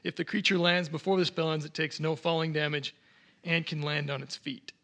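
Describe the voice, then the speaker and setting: A man's voice: fairly high pitch, fairly even intonation, brisk, pushed and bright. A man playing a tabletop game, casually describing what his character does and reading out rules.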